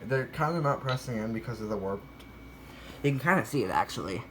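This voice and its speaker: A man's voice: mid-range voice, lively intonation, fairly quick, a bit bright. Casual guy vlogging, noisy recording. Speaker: collector showing a weather radio collection. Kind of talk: casual unscripted show-and-tell.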